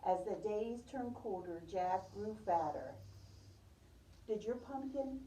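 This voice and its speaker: Female preschool teacher, storybook read-aloud with questions. Slow, very mellow delivery, roomy distant pickup. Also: mid-range voice, lively intonation, a little hiss or hum. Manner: addresses listeners as young children, repeats their answers. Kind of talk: picture-book read-aloud with questions to children. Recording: roomy, distant microphone, muffled top end.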